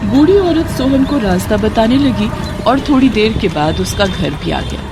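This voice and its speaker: Lively woman storyteller over music. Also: fairly high pitch, normal speed. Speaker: narrator. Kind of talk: scripted children's story narration.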